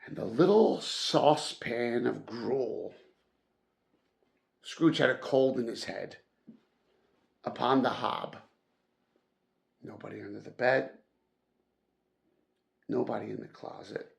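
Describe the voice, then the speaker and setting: Male audiobook-style reader for classic fiction: very unhurried, gravelly mid-pitched voice, dramatic emphasis on key words. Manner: deliberate, dramatic phrasing with emphatic stresses. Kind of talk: storybook read-aloud narration.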